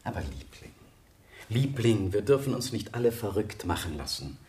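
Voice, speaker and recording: An actor playing a kindly, conciliatory village vicar in a radio drama, soothing and paternal in manner. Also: male voice, on the low side, very animated, moderate pace, a little mellow.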